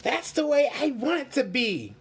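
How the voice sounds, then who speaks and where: A man's voice: very high voice, huge pitch swings, moderate pace, gravelly, a little hiss or hum, pitched above the performer's own voice, heavily acted. A man voicing a small, insecure, earnest wooden puppet person in a children's storybook, with a plaintive manner. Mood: wondering.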